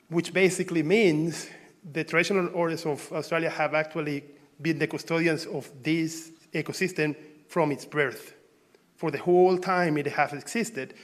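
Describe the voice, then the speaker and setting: Venezuelan-born man giving a conference talk. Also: fairly high pitch. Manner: conversational, halting, frequent fillers and restarts. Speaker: researcher presenting at a symposium.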